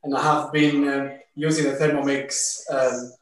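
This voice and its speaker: A man in his forties, originally from Italy, speaks in a friendly way, pausing on fillers. He stands back from the camera in his home kitchen, so his voice rings with heavy room echo under a faint hiss. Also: mid-range voice, little pitch movement. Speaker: cooking advisor, guest. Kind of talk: self-introduction on a video call. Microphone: camera at a distance. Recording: very echoey kitchen, far from the mic, light noise.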